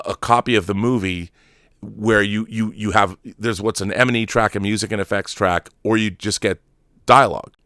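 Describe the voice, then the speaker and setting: A man's voice: lowish voice, a bit bright, dry recording, studio quality. A man hosting a film commentary show, giving opinions off the cuff in an emphatic, rambling way with repeated and restarted phrases. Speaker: trailer editor.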